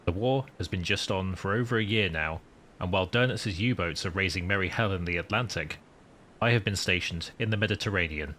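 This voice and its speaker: A man's voice: low voice, a little fast, bright, forceful tone, slight background noise, pitched below the performer's own voice. A man voicing a wry, newly promoted wartime submarine commander, narrating his story in formal, dry understatement. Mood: wry, measured. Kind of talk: scripted first-person story narration.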